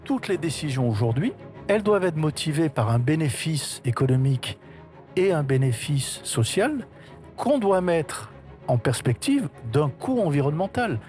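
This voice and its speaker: Frank man with animated, higher voice. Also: moderate pace, soft background music. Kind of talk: interview commentary in a documentary film.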